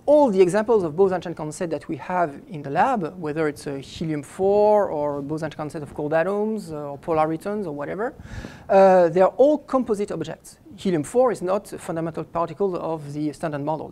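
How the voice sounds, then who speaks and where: A man's voice: fairly high pitch, lively intonation, mellow. A middle-aged man giving a physics lecture in a lecture hall, speaking at a lectern microphone and often stopping and restarting his phrases. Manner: halting, stops and restarts phrases often while explaining. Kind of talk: academic lecture.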